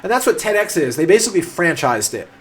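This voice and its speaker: A young man talks through a live stream in an eager, explanatory way, often restarting his words. His nose is stuffed up from a cold, which makes him sound congested. His voice sits a little on the high side. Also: very animated, quick pace, slightly rough. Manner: enthusiastic, explanatory, frequent restarts. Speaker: livestream host answering chat questions. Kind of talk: casual livestream talk.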